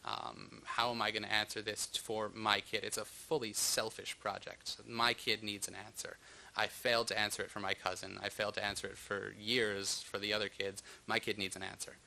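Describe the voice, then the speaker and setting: A man in his thirties giving an earnest, halting talk to an audience about the children's book he wrote, with frequent pauses and ums. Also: lowish voice, little pitch movement, gravelly, sharp tone, rough recording. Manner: hesitant, many ums, earnest. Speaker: author of a children's book on war.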